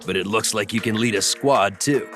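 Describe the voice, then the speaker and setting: A man's voice: fairly low pitch, moderate pace, fairly bright tone, soft background music, close to an everyday voice. A man playing a wry, teasing soldier comrade in a video game, casual and ribbing.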